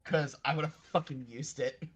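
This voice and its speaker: A slightly high-voiced man, a player in a tabletop game, who talks bluntly and jokes with casual swearing. He describes what his character does in plain, quick remarks. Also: steady tone, a bit bright, husky.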